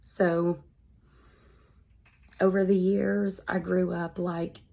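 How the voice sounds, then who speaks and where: A woman in her thirties, congested and hoarse from being sick, talking earnestly to her vlog audience. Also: lowish voice, little pitch movement, dark tone. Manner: slow, earnest and measured. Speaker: vlogger. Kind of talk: personal vlog monologue.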